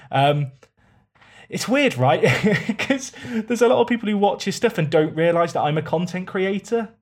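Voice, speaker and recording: Male guest, fairly high voice, monotone and dry-humoured. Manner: calls himself monotone, self-mocking. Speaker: guest content creator. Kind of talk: casual podcast chat.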